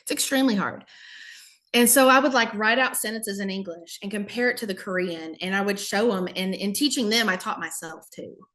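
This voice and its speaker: A woman from the American South with a Southern accent, a guest telling lively stories in a casual podcast chat. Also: mid-range voice, brisk. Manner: lively storyteller, acts out quotes, laughs often. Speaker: guest, former English teacher in Korea.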